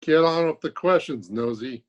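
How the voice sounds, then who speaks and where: A man's voice: a little high, moderate pace, muffled recording, natural voice. A man playing a sarcastic, exasperated middle-aged doctor in an old-time radio comedy, trading dry insults. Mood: grudging. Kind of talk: 1950s radio comedy acting.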